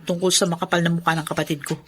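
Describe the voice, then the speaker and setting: A Filipino actress playing a resentful, sharp-tongued older sister in a radio drama, scornful and accusing. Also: female voice, on the low side, flat delivery, very fast, husky.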